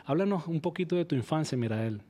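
Man hosting, dark mellow voice. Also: a little high, quick pace, breathy edge. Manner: earnest, leads prayers in a steady recitation. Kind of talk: broadcast interview with opening prayers.

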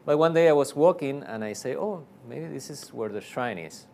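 A man's voice: mid-range voice, animated, moderate pace, vocal fry, mellow, a little hiss or hum. A man from Argentina with an Argentine Spanish accent, a priest telling his story in a casual interview, with many fillers and restarts.

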